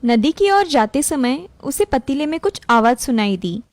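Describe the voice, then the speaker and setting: A woman's voice: high-pitched, very animated, unhurried, a little mellow. A woman narrator reading a scripted moral story for a cartoon.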